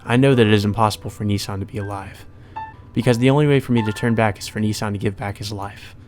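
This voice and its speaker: A man voicing a composed, aloof young heiress in a measured, formal, reserved way. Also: on the low side, steady tone, darkish tone, faint music behind, close to an everyday voice. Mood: wistful.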